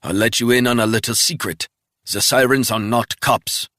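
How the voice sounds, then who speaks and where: A man's voice: mid-range voice, steady tone, normal speed, pushed and bright, no room sound, pitched above the performer's own voice, lightly acted. A man voicing a panicked middle-aged male spy who pleads and then sneers at his captor, in a dry, close audiobook reading. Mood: taunting.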